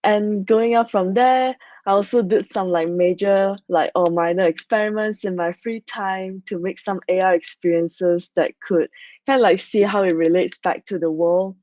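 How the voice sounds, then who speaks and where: A woman's voice: mid-range voice, steady tone, moderate pace, dark tone, no echo. A young woman from Malaysia giving a casual online conference talk, chatty and full of fillers. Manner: chatty, many fillers like "like" and "so yeah". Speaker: conference speaker. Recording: dry, slightly dull video-call audio.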